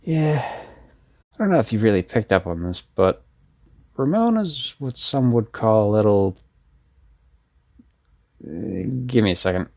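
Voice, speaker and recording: Casting: male actor for a deep-voiced, laid-back young male rebel, self-deprecating and wry, rambling delivery, roleplay audio drama. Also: big pitch swings, measured pace, pauses often, a little mellow. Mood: teasing, rambling. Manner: rambling, wry, sardonic, casual.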